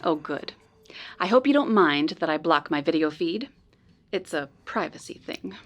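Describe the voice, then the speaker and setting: A woman playing a polite, earnest informant in a noir radio drama, speaking in a measured, precise way. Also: low voice, animated. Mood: polite, apologetic. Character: polite, earnest female informant helping a detective.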